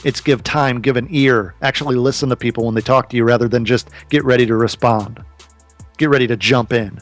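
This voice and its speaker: A man's voice: medium pitch, steady tone, a little fast, faint music behind. A man in his thirties, a podcast guest, talking earnestly and casually about business, swearing now and then. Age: 30s.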